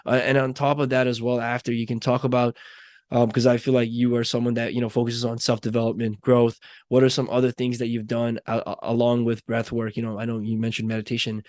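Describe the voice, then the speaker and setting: A young man from Nepal hosting a podcast in a loose, rambling way. He keeps circling back with fillers and restarts before landing his question. His voice sits at an ordinary middle pitch and sounds close and dry. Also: completely flat delivery, brisk, a little mellow, no echo. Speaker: podcast host. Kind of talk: casual conversation.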